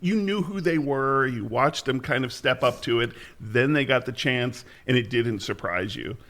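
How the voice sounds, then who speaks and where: A man's voice: mid-range voice, moderate pace, a bit bright. A man co-hosting a podcast, reminiscing enthusiastically in casual chat and often restarting his sentences. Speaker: podcast co-host.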